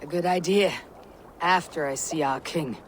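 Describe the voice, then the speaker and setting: A woman's voice: low-pitched, normal speed, a little hiss or hum, natural voice. A woman playing a battle-hardened, brooding Viking warrior in a video game. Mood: matter-of-fact. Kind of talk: dramatic video game acting.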